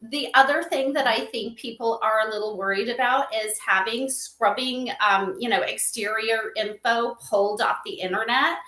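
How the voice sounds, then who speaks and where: A woman explaining things casually as a guest in a livestream interview, animated and given to acting out example dialogue. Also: a little high, normal speed, hardly any pauses. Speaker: interview guest, company advisor on AI memorial companions. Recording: roomy, echoing sound.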